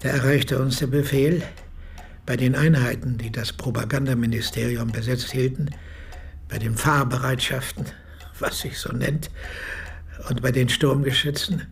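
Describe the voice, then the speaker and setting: Radio drama: male actor as solemn veteran medic in his eighties, creaky mid-pitched voice, exact, formal eyewitness testimony. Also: a little hiss or hum, natural voice. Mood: measured, recollecting. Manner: measured, precise, formal storytelling. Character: 86-year-old former army medic, solemn precise eyewitness. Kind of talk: radio play monologue, reminiscence.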